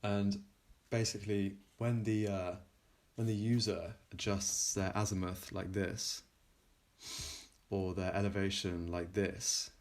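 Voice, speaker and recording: A man presenting his own software in an informal technical demo, halting and often pausing mid-sentence. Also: low-pitched, little pitch movement. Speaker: plugin developer presenting a demo.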